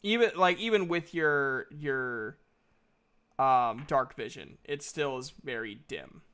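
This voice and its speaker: A man improvising narration as game master of an online tabletop game, with halting, hesitant phrasing. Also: medium pitch, animated, sharp tone, clean tone.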